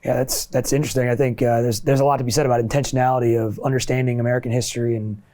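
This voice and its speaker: Quick, mellow-voiced male moderator. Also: medium pitch, flat delivery. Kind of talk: panel moderating, conversational. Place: TV studio.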